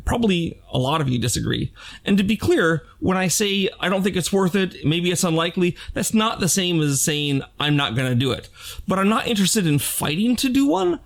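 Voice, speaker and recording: A man's voice: sits high, vocal fry. A man in his sixties talking candidly and conversationally to his fans in an opinion vlog, with emphatic delivery. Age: 60s. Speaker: game designer and video channel host.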